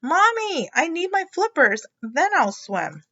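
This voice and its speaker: Woman acting a high-pitched, whiny little frog boy. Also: big pitch swings, slow, sharp tone, highs cut off, full character voice. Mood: panicked, gasping. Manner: whining, spluttering and gasping protests. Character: whiny, nervous, excitable young male frog child.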